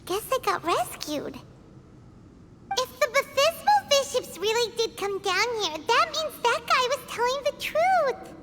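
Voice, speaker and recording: A woman voicing a small, childlike fairy companion in a video game, squeaky, excitable and quick to exclaim indignantly. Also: very noisy, full character voice. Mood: excited, curious. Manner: exclamatory, refers to self in third person. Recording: music behind the voice.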